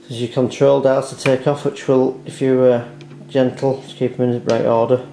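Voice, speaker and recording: Mellow man giving a how-to, roomy sound. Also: mid-range voice, flat delivery, normal speed, creaky. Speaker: repair tutorial presenter. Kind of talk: step-by-step hands-on how-to narration. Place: indoors at a dining table in a home. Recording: roomy echo, background hiss, handling clicks.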